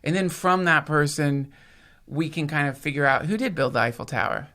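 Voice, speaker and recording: A man's voice: fairly high pitch, normal speed, sharp tone. A man from the United States, a podcast host, rambling in casual chat with profanity and emphatic points. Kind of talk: casual conversation.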